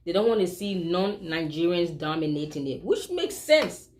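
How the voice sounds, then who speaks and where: A woman's voice: lowish voice, animated, normal speed, darkish tone. A Liberian woman with a Liberian accent, a vlogger talking straight to her viewers in an emphatic opinion commentary, full of rhetorical questions.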